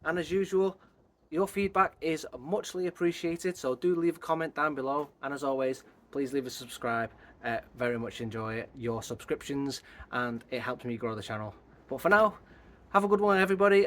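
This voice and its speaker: A man with a croaky voice talking casually through his bonsai work as host of his channel, thinking aloud as he goes, outdoors. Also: fairly high pitch, steady tone, brisk, fairly bright tone. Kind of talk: casual hands-on tutorial talk.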